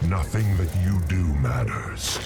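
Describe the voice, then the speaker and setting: A cold, menacing game demon, extremely deep and gravelly. The words come out slow and ominous, a herald of doom over loud music. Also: male voice, big pitch swings, full character voice. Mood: menacing, gloating. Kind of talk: video game cutscene acting.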